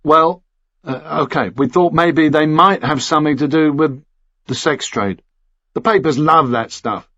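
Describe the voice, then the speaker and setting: A man's voice: fairly high pitch, a little slow, bright, forceful tone, dull audio, pitched above the performer's own voice. Man playing a wary, evasive British man. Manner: evasive, offhand. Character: wary British man bluffing his way through questioning.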